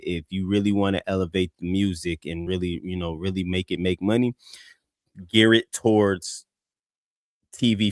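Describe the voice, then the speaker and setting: A man with a deep, somewhat monotone voice, casually reviewing a song on a live show with lots of fillers and restarts. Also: no echo, clean studio sound. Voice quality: deep voice, flat delivery. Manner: rambling, many restarts and fillers. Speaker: co-host music reviewer.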